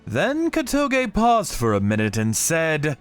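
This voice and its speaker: A man reading scripted dramatic narration in a grave, measured way. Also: on the high side, wildly swooping pitch, clear, resonant tone. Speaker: narrator of a manga story, telling it in first person as a vigilante character. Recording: music behind the voice.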